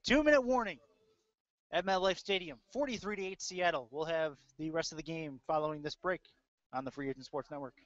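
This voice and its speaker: Male sports announcer, slightly high voice, live football play-by-play, muffled lo-fi stream. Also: a little fast, fairly bright tone, no echo. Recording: dull lo-fi web audio stream.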